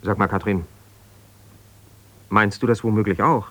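An actor playing a wounded, self-justifying husband in a naturalistic radio drama, talking in a hesitant, searching, conversational way. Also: male voice, lowish voice, steady tone, unhurried, a bit bright. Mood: indignant, defensive. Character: defensive, wounded husband pouring out his troubles to a confidante. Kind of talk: naturalistic 1970s German radio drama monologue.

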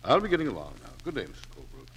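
An actor playing a sober, courteous family doctor in a 1940s radio drama, measured and formal. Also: male voice, mid-range voice, huge pitch swings. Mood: grave. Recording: noisy old 1940s radio recording.

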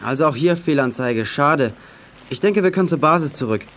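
A man's voice: fairly high pitch, expressive, a little fast, fairly bright tone, a little hiss or hum. A male actor playing a precocious, wordy boy with pompous, mock-formal phrasing in a children's radio play. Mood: polite. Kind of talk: 1980s German children's radio play acting.

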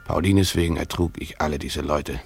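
A brooding former tutor narrating a radio play. His deep, gravelly voice is reflective and halting, over faint music. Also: exaggerated voice. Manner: reflective, halting, self-questioning.

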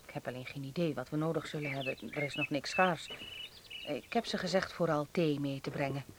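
A male actor as a sardonic, principled patriot in a wartime radio play. The voice is fairly high for a man, biting and indignant, with faint noise on the recording. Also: steady tone, a little fast, close to an everyday voice. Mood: calm. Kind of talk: Dutch radio drama acting.

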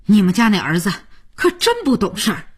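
A man putting on a shrill feisty old granny. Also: wildly swooping pitch, fairly quick, slightly rough, full character voice. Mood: indignant. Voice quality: shrill high falsetto. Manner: indignant, quarrelsome, won't back down. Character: feisty stubborn old grandmother. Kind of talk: audiobook novel reading.

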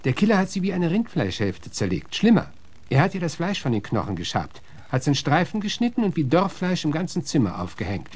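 Gruff, animated frontier lawman. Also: fairly high pitch, a little fast. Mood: agitated. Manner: blunt, terse, interrogating. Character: gruff, hard-bitten American frontier lawman, blunt and menacing. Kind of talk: radio drama acting.

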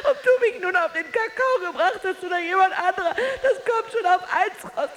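A jealous married woman in a radio drama monologue. She is bitter and taunting toward her rival. Her delivery is theatrical and emotionally volatile, moving between hushed menace and open outburst. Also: very high voice, fairly quick. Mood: pitying, mocking.

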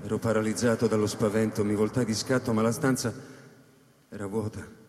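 A man giving first-person dramatic narration in a radio play, deliberate and suspenseful. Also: fairly low pitch, level tone, a little mellow. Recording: echoey hall reverb, music behind.

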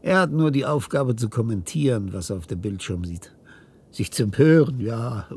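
An actor playing an obsessive, cold scientist in a radio drama, lecturing with intensity and secretive command. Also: male voice, medium pitch, animated, normal speed, darkish tone, breathy edge. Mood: secretive, explaining.

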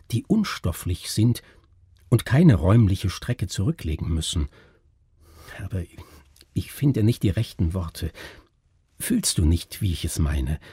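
A man voicing a brooding, oversensitive man in a story, confiding uncanny thoughts in a halting, intense, husky way. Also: on the low side, dark tone, no room sound, clean studio sound, full character voice. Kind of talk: dramatic story reading.

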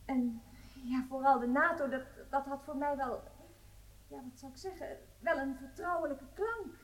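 High voiced woman, actress as anxious wife on trial, hesitant, dodging questions, radio play. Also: normal speed, lightly acted, rough recording. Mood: uneasy, defensive. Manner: hesitant, deferential. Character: nervous, evasive wife of an accused spy on trial. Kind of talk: radio drama acting.

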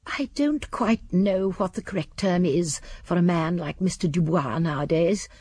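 A woman's voice: lowish voice, normal speed, darkish tone, slightly rough, no room sound. An actress playing a prim, disapproving older English woman, narrating in a radio drama. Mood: prim disapproval.

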